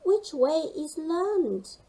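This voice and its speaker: A woman's voice: very high-pitched, animated, very slow, warm tone, voice pushed up, full character voice, low-quality recording. A woman voicing a timid, worried young male penguin in a storybook, nervous and exclaiming. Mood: pleading.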